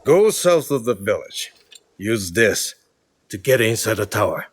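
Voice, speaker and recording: An actor playing a grave, battle-hardened older samurai lord in a video game, speaking with measured authority. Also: male voice, fairly low pitch, very animated, breathy edge, exaggerated voice. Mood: grave, commanding. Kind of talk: video game cutscene acting.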